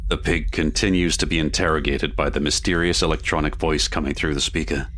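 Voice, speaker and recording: A man's voice: a bass voice, steady tone, a little fast, fairly bright tone, slight background noise. A man giving scripted narration for an audio drama.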